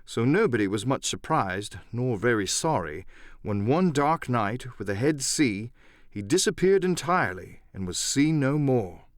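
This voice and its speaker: A man reads an adventure story aloud as an audiobook narrator. His pitch moves expressively with a theatrical storyteller's delivery, and the sound is dry and close. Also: lively intonation, measured pace, no room sound. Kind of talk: dramatic scripted narration.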